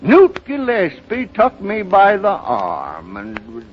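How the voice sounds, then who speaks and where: An actor playing a sorrowful old rural American grandfather, telling his story haltingly in a folksy, dramatic 1930s radio style. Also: high voice, huge pitch swings, exaggerated voice. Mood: wistful, sorrowful. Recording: muffled, noisy 1930s radio recording.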